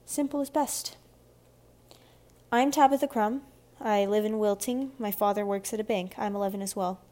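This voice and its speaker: A woman voicing a shy, soft-spoken but loyal eleven-year-old girl in a children's audiobook, plain and quiet in manner. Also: mid-range voice, moderate pace, close to an everyday voice. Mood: modest. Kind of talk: children's audiobook character dialogue.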